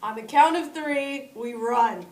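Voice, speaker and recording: A woman's voice: fairly high pitch, measured pace, background noise. A woman performing dialogue in a live comedy script reading. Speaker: table read performer. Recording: echoey distant mic, music behind.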